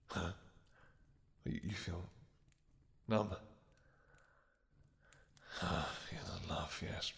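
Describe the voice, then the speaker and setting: Man playing a deep, tender vampire king in reverb. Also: vocal fry, full character voice. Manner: halting, emotional, formal archaic endearments. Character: tender, devoted, guilt-ridden vampire king, romantic and regal. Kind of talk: intimate romantic fantasy audio roleplay. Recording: long hall-like reverb.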